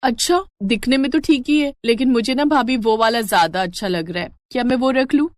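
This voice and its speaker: A woman's voice: a little high, fairly quick, dry recording, studio quality, natural voice. A woman voicing a covetous young college-going sister-in-law, wheedling and entitled, in a storybook drama. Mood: insistent, entitled.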